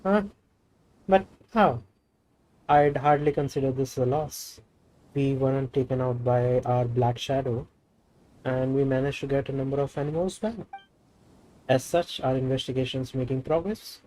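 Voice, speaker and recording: Mellow man reading game dialogue. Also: medium pitch, steady tone, normal speed, pauses often, poor audio. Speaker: game streamer. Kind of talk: reading game dialogue aloud while playing.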